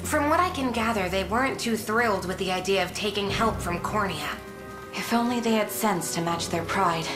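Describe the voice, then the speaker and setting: A woman's voice: mid-range voice, normal speed, music in the background, natural voice. Actress as an echoey, earnest loyal woman scout. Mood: urgent, earnest. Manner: formal, earnest address. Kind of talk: video game cutscene voice acting.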